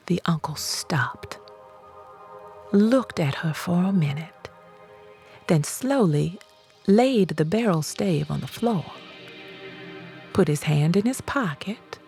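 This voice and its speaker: A woman reading an audiobook as a dramatic storyteller, deliberate and expressive in her scripted narration. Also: fairly low pitch, vocal fry, soft background music.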